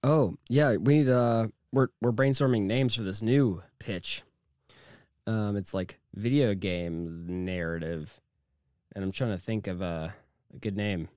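A man with a naturally deep voice, co-hosting a casual podcast chat, jokey and crude with lots of halting fillers. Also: darkish tone, dry recording, studio quality. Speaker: podcast co-host.

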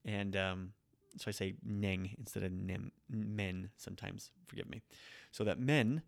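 A man with a lowish voice hosting a laid-back podcast lesson on Japanese grammar. He often corrects himself and drops Japanese phrases into his explanations. He sounds like a native English speaker. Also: measured pace, creaky. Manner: relaxed, self-correcting, mixes in Japanese phrases. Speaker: podcast host teaching Japanese. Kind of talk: casual spoken grammar lesson.

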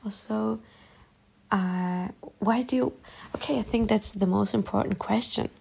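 Soft-spoken German-accented woman, gravelly. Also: mid-range voice, a little slow. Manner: soft, musing, partly whispered. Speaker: YouTuber. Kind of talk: late-night personal vlog. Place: lying in bed at night.

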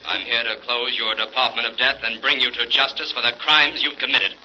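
An actor playing a stern, taunting invisible crime fighter with an American accent in a 1940s radio drama, speaking in a commanding, ominous way. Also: male voice, medium pitch, steady tone, fairly quick, sharp tone. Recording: dull 1940s radio recording.

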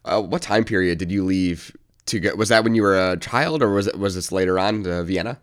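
A male podcast host with a notably deep voice opens with a scripted welcome. He then moves into asking questions, dropping short nods of agreement between the guest's answers. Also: a little fast. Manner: reads a scripted intro, then asks questions with brief affirmations. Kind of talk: podcast interview.

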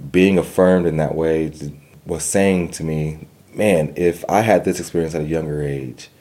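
A man's voice: a bass voice, mellow. A young man telling his personal story in an interview, earnest, with a stutter and repeated words. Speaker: guest.

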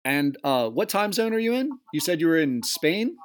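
A man's voice: a little high, very animated, moderate pace. A man hosting a casual interview podcast from home, chatty and laughing often. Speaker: podcast host. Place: home in Delaware.

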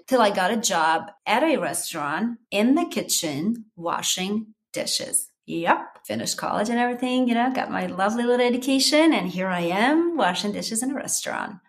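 A middle-aged woman from Armenia, whose first language is Armenian, hosting her own podcast in a chatty, animated solo talk addressed to her listeners. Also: medium pitch. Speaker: podcast host.

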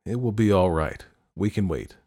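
A devoted young boyfriend , as a man reads him in an audiobook. He speaks softly and gently in a lowish voice, sincere and earnest. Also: normal speed, husky. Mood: reassuring. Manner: soft, gentle. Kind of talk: audiobook character acting.

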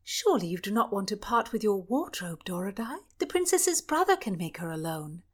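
A woman voicing a gentle, soft-spoken young court lady, often hushed. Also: medium pitch, sing-song, a little fast.

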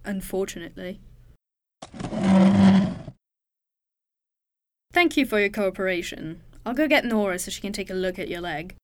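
A woman's voice: medium pitch, animated, fast, a bit bright, a little hiss or hum, natural voice. A woman playing a tough, world-weary crew member in an audio drama, wry and understated, by turns menacing and compassionate. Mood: dry, stern.

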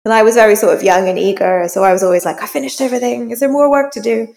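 A woman's voice: fairly high pitch, rapid-fire, gravelly, a little mellow. A woman speaking as an interview guest, informal and self-deprecating, telling personal anecdotes in a conversational way. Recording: long reverberant hall echo.

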